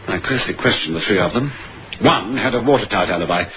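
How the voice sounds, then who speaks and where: An actor as dogged police inspector, very animated delivery. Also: male voice, on the low side, fast, close to an everyday voice. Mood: earnest, pressing. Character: dogged middle-aged male police inspector on a murder case. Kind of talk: 1950s radio drama acting with narration. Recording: muffled, noisy vintage radio broadcast.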